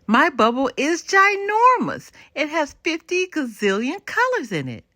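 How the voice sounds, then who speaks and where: An eager, wide-eyed little boy from a storybook, acted out by a woman. The voice is raised high and bright, swinging up and down as he proudly exclaims. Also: wildly swooping pitch, measured pace, dry recording, clean studio sound, exaggerated voice. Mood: excited. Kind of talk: children's storybook read-aloud.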